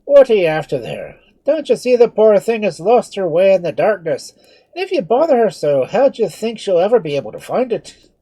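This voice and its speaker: A man voicing a portly, cheerful Irish friar with a brogue, loud and fussing. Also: on the high side, huge pitch swings, moderate pace, husky, voice pushed up, heavily acted, poor audio. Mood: scolding alarmed. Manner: loud, bustling, fretful.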